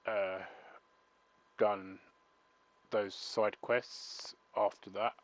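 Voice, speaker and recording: Rambling gamer, low voice. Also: male voice, flat delivery, drawn-out words. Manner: rambling and chatty, many fillers. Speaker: gaming channel host. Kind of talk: let's play commentary.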